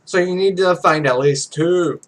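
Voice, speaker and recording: A woman's voice: fairly low pitch, measured pace, lightly acted. A man voicing a blunt, demanding young female pro gamer with a curt, commanding manner in a visual novel.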